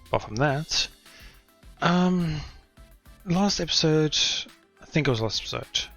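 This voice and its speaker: A man hosting a casual game playthrough, slightly hoarse from an irritated throat, who rambles and second-guesses himself aloud. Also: fairly high pitch, animated, a little slow, fairly bright tone, soft background music. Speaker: let's play host. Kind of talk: casual gameplay commentary.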